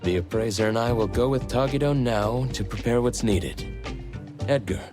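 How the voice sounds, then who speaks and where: A man playing a courteous, composed, helpful leader in an anime game, speaking in a polite, measured, formal way. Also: medium pitch, music in the background, close to an everyday voice. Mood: earnest, composed. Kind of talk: anime game dialogue acting.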